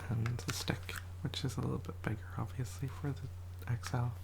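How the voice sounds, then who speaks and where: An ASMR creator in a quiet house, whispering a soft, rambling show and tell and often correcting themselves, voice a little rough from a cold. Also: medium pitch, level tone, normal speed, background noise. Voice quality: whispered, soft-spoken delivery. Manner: rambling, self-correcting, chatty.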